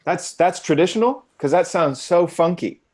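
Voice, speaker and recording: A man's voice: sits high, expressive, moderate pace, a little mellow. A man hosting a casual livestream interview, joking and encouraging his guest. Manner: jokey, encouraging. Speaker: host.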